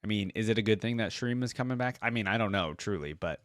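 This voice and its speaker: A man's voice: fairly low pitch, fast, a bit bright, no room sound, clean studio sound. A young man giving casual reaction commentary, musing aloud in an informal way. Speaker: reaction video host.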